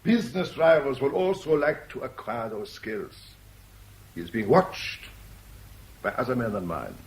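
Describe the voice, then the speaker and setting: An actor playing a suave, calculating crime boss in a radio drama, speaking formally and with measured irony. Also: male voice, on the low side, creaky. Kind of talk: 1970s British radio drama acting. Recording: noisy vintage radio broadcast recording.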